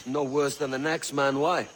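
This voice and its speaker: An actor playing a brash, self-made young pirate in a video game, with a cocky, wry, colloquial delivery. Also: male voice, medium pitch, little pitch movement, normal speed, a bit bright, slight background noise, lightly acted. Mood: puzzled, wry. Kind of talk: video game cinematic acting.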